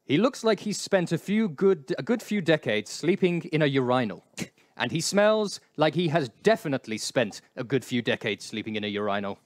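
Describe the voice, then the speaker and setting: A man's voice: a little high, sing-song, moderate pace, dry recording, clean studio sound. A man playing a wry narrator, reading scripted comic storybook narration for a space radio play. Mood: wry, narrating.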